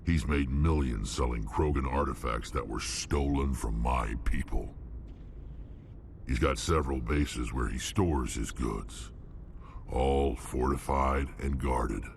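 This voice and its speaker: A man voicing a huge, gruff, proud alien mercenary in a video game, blunt and grudging, with a very deep gravelly voice. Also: slow pace, slight background noise, heavily acted. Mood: grim, determined. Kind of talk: video game cutscene acting.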